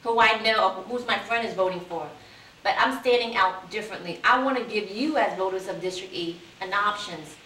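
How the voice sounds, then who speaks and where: A woman in her forties, born in Vietnam, a city council candidate telling her life story in an earnest, steady way. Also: mid-range voice, brisk. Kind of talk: interview answers, life story. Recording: echoey room, distant mic, faint hiss.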